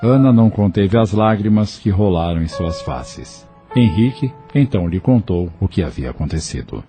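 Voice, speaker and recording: A man's voice: low-pitched, expressive, moderate pace. A man reading scripted storytelling narration for an audio novel. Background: music.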